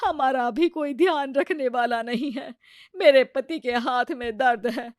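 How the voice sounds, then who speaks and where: A woman acts out an older mother-in-law in a moral tale. She is high-voiced, homely and long-suffering, gently pleading and resigned. The sound is dry and close. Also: measured pace, no room sound. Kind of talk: acted dialogue in a Hindi moral story.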